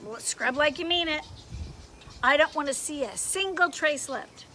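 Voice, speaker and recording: A woman's voice: high voice, a little slow, pushed and bright, a little hiss or hum. A woman voicing a stern, mocking conscience that scolds and interrogates, in a storybook read-aloud. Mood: stern ordering. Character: scolding, bossy conscience, a voice inside the head.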